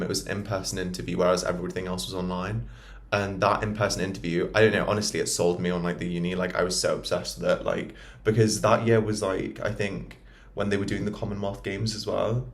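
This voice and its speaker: A man in his early twenties with an English accent and a fairly low voice. He chats loosely and informally as a podcast co-host, with plenty of "like" along the way. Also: level tone, fast. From Newcastle and London, England. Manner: chatty, informal, frequent "like". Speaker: podcast co-host, dental student. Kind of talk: casual chat.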